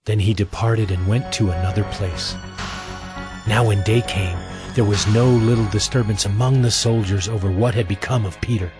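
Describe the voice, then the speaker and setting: A man giving a scripted, solemn and measured narration for an audio drama of scripture. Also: fairly low pitch, fairly even intonation, over music.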